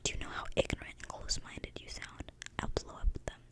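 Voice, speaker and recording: A woman speaks in a soft, breathy, intimate whisper, reading a book aloud in ASMR style with casual asides. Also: fast talker. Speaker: ASMR video creator. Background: thunderstorm outside the window.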